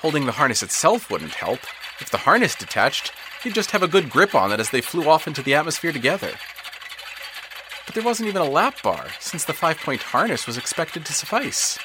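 A man's voice: on the high side, fairly quick, background noise. Man narrating an audio drama expressively. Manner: expressive storytelling, swelling with tension and dropping to hushed tones.

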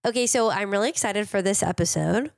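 A woman's voice: mid-range voice, fairly quick, creaky, no room sound, studio quality. Chatty woman podcast host, slightly nasal. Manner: laughs often, upbeat and chatty. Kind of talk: casual podcast chat explaining chemistry.

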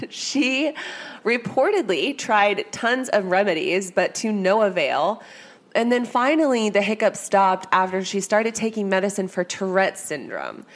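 A woman hosting a true-crime podcast, her voice stuffy from a cold and a little worn out. She chats casually and hedges a lot, with plenty of ums and likes. Her pitch sits in an ordinary middle range. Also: sing-song, measured pace. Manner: chatty, hesitant, lots of fillers. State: congested with a cold, tired. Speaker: podcast host.

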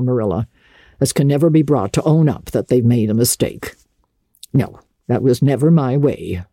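A woman's voice: a bass voice, warm tone, husky, dry recording, studio quality, full character voice. A woman voicing a blunt, opinionated older country woman with folksy, emphatic speech in a storybook reading.

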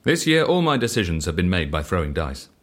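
A man's voice: low-pitched, big pitch swings, a little fast. An actor playing a mild, compliant man in an audio drama, speaking politely in a literal, matter-of-fact manner. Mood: calm, matter-of-fact. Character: mild, compliant man who obeys dice.

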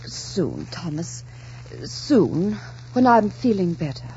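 A woman's voice: fairly low pitch, wildly swooping pitch, takes it slowly, warm tone, a little hiss or hum, exaggerated voice. An actress playing a proud, devoted lady in love in emotional 1940s radio melodrama style. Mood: anxious. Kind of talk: 1940s radio drama acting. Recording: dull 1940s radio recording, music behind.

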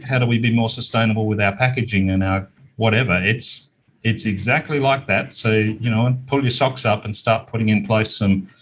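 A man's voice: lowish voice, slight background noise, rough recording. A middle-aged Australian man answering interview questions in an earnest, plain-spoken, conversational way. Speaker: interview guest, small business owner.

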